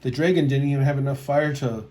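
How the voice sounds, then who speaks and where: A man hosting a storytime, reading a picture book aloud in an expressive storytelling style. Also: medium pitch, fast. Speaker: storyteller, host.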